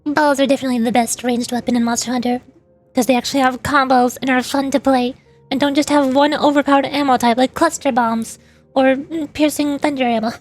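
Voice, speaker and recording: A woman's voice: high-pitched, fairly even intonation, fairly quick, faint music behind. A woman streamer giving casual, chatty, opinionated gaming commentary in a rambling way. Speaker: VTuber streamer.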